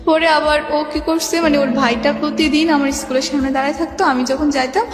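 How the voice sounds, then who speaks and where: A woman's voice: high-pitched, steady tone, a little fast. A young woman with a Bangladeshi accent telling her life story informally as a guest, rambling and often quoting remembered dialogue. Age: young adult. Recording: reverberant hall echo, music behind.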